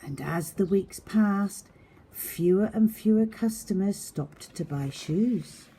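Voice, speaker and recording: A woman's voice: medium pitch, slow, dark tone, breathy edge. A woman reading a children's story aloud, addressing young listeners simply and directly like a primary teacher.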